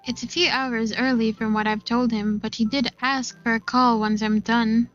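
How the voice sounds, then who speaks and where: Woman, slightly high pitch, expressive story reading, game streamer narration, slightly muffled recording, soft background music. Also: moderate pace. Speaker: gaming streamer. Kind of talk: reading visual novel narration aloud with reactions. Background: faint game music.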